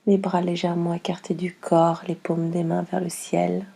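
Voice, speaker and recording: Female meditation guide: slow, soothing, mellow and softly breathy, lowish pitch, long pauses between instructions. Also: completely flat delivery. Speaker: yoga nidra guide.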